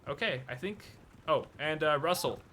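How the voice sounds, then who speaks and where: A man's voice: on the high side, animated, normal speed, bright, forceful tone. A young American man hosting a casual live stream, chatting loosely with many filler words while giving advice. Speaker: streamer host.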